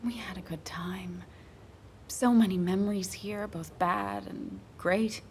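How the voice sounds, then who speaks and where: An actress playing a weary, grieving woman in her thirties, confiding haltingly in naturalistic video game drama. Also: medium pitch, darkish tone, slight background noise, lightly acted. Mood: wistful, regretful.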